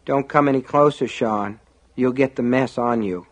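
A man's voice: mid-range voice, moderate pace, natural voice. A man voicing a despairing boy, an older brother in a story, with a solemn, insistent and detached manner. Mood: grim, detached.

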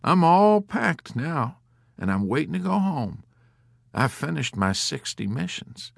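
A man's voice: on the high side, very animated, unhurried, creaky, no echo, studio quality, pitched above the performer's own voice, exaggerated voice. Man as a stubborn, self-important airman. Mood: smug. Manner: self-important, stubborn, drawling when smug.

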